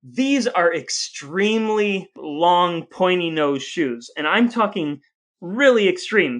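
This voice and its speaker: A man's voice: a little high, fairly bright tone. A man co-hosting a podcast, telling stories casually in a wry, jokey way. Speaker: podcast co-host. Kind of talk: casual conversational storytelling.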